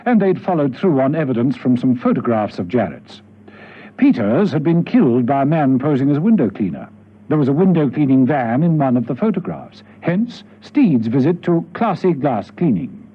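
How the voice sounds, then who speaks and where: A man's voice: mid-range voice, slightly rough. A man narrating a radio drama from a script in a dramatic storytelling style. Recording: dull lo-fi radio, music behind.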